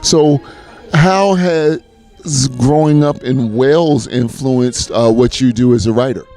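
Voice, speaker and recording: A man interviewing a guest at an arts gala, asking friendly, brisk questions with fillers. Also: mid-range voice, dark tone, faint music behind. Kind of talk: event interview.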